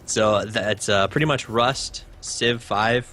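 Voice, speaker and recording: A man's voice: fairly low pitch, slow pace, sharp tone, soft background music. A young man from Hawaii, talking casually as an interview guest, easygoing with frequent "uh" and "um". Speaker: interview guest, esports caster.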